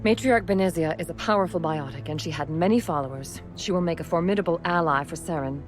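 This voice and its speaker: A woman acting a calm, dignified alien councilor in a video game, speaking in a formal, measured way. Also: on the low side, soft background music, close to an everyday voice. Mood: grave, measured.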